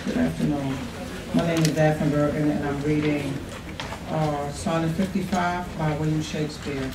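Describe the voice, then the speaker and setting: A woman's voice: low voice, fairly even intonation, moderate pace. A woman reading a sonnet aloud at a contest podium. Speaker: poetry contest reader. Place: college classroom, at a podium. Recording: echoey noisy room, distant mic.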